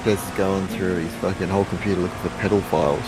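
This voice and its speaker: Deep-voiced teasing friend. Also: male voice, steady tone, quick pace, loud music behind the voice, low-quality recording. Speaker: friend on voice chat. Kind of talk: casual chat.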